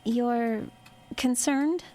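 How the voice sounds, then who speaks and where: An actress playing a cold, calculating superior in a covert military unit, clinical and authoritative, in an audio drama. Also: female voice, on the high side, very slow, dark tone. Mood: coolly calculating. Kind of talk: audio horror drama acting.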